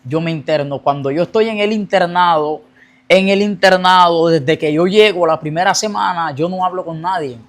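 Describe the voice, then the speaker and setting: A young man tells his personal testimony in an earnest storytelling voice. His voice sits a little high for a man, and he rushes forward whenever the memories get intense. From Colombia. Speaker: guest giving a testimony.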